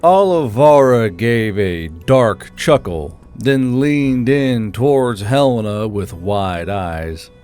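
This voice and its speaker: A man's voice: fairly low pitch, lively intonation, takes it slowly, hardly any pauses, darkish tone, clear, resonant tone, soft background music. A man narrating a scripted fantasy story.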